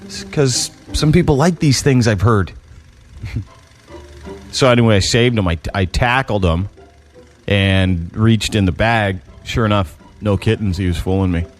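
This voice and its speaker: A man hosting a radio morning show, telling rambling joke stories with a deadpan delivery. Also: fairly low pitch, unhurried, faint music behind. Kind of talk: joking radio banter. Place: radio studio. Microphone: studio microphone.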